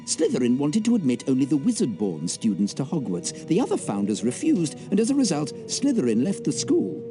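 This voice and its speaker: A scholarly, excitable British charms teacher from a fantasy game. The voice is on the high side and hugely animated, with precise, theatrical diction. Music plays clearly underneath. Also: male voice, a little fast, few pauses. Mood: grave storytelling.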